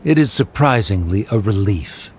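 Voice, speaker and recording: A man's voice: lowish voice, lively intonation, moderate pace, darkish tone, a little hiss or hum, close to an everyday voice. A man playing a haughty, stern scholarly father in a game cutscene, pompous and grudging. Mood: disdainful, grudging. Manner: pompous, formal wording. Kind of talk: video game cutscene voice acting.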